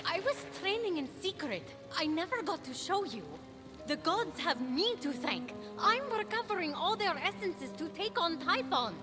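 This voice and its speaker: A woman's voice: very high voice, very animated, moderate pace, music in the background. A woman playing a plucky, eager young warrior heroine in a video game, spirited and earnest. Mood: unsure, earnest. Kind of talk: video game cutscene acting.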